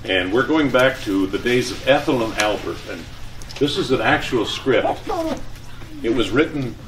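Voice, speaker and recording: A man's voice: mid-range voice, expressive, unhurried. An older man, a former announcer, giving an informal live talk and introducing performers. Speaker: presenter, former radio and TV announcer. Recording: distant mic, faint room noise.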